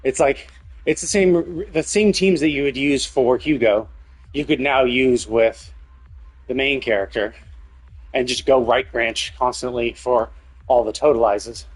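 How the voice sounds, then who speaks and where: A man's voice: mid-range voice, a little slow, breathy edge, soft background music, rough recording. A man doing casual live-stream commentary on a game, rambling analytically as he thinks aloud.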